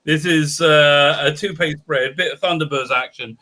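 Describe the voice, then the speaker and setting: A man chatting on a video call as interviewer, excitable and tripping over his words. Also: fairly high pitch, normal speed. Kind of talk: casual video chat.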